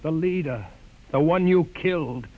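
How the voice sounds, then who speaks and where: A man's voice: on the high side, a little slow, narrow, tinny audio. An actor playing a defiant, idealistic young man in a 1950s radio drama, speaking in an impassioned, declamatory way. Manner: impassioned, pleading then declamatory. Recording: hissy old radio transcription.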